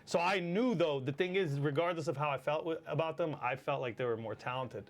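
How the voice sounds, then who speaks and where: A man in his forties with a Lebanese accent tells stories in loose, casual talk, full of swearing and fillers. His voice sits a little high for a man. His delivery stays animated and lively. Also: fairly quick. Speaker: battle rapper guest. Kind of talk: casual chat.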